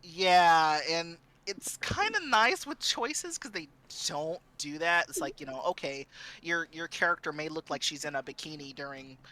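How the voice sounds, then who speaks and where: A woman's voice: low voice, expressive, normal speed, pushed and bright. A woman from Southern California chatting casually as a streamer on a panel, in an informal, rambling way peppered with "you know". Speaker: visual novel streamer, panelist.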